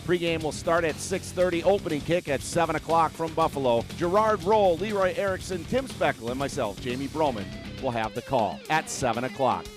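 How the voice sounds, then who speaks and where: A man's voice: on the high side, brisk. An American man, a radio sportscaster, reading a scripted promo for an upcoming broadcast. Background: guitar music bed.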